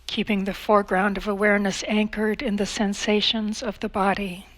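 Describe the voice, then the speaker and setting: Calm woman guiding a meditation. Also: medium pitch. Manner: calm, measured, with long pauses. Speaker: meditation instructor. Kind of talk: guided meditation.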